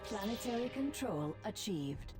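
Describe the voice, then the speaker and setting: A woman's voice: mid-range voice, big pitch swings, a little slow, darkish tone, husky, heavily acted. A woman playing a formal military announcer in a video game, giving curt reports over music. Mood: formal, controlled. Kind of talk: scripted video game voice lines.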